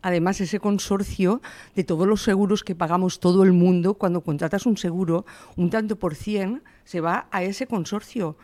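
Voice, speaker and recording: A woman's voice: lowish voice, steady tone, moderate pace, darkish tone, dry recording. A woman in her sixties from the Valencia region, a shop owner answering interview questions in an earnest, emphatic way. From Valencia region, Spain. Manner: earnest, emphatic, quotes her own words.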